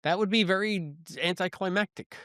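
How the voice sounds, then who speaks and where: A man's voice: high-pitched, a little fast, fairly bright tone, smooth, no echo, clean studio sound. A man co-hosting a podcast, chatting casually and musing through rhetorical questions in a review discussion. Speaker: podcast co-host. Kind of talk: casual review chat about a science fiction audio drama.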